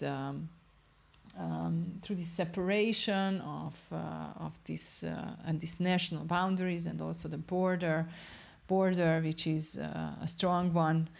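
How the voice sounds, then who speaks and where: Low, gravelly-voiced woman researcher. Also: a little mellow. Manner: careful, explanatory, searches for words. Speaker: researcher interviewed about her study. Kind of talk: explaining research findings in an interview.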